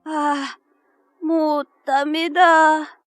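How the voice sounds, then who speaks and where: A woman's voice: high voice, level tone, drawn-out words, dry recording, studio quality. A woman voicing a dreamy, earnest young male squirrel in a children's storybook, boyish and wistful, with music behind. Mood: exhausted. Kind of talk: children's animated storybook dialogue.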